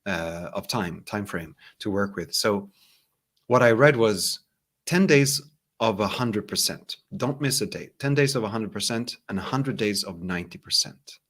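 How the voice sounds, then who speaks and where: A man with a Swedish accent, a native Swedish speaker, talking as a hypnotherapist guest in a casual interview, calm and reflective, often repeating words as he searches for them. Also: on the low side. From Sweden.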